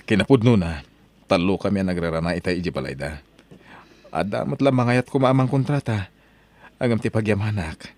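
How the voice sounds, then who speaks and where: A man's voice: on the low side, brisk, long pauses, a little mellow, natural voice. A man with an Ilocano accent playing an easygoing working husband, speaking casually and a little hesitantly in an audio drama. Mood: pleased. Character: easygoing hardworking husband and father, a building contractor.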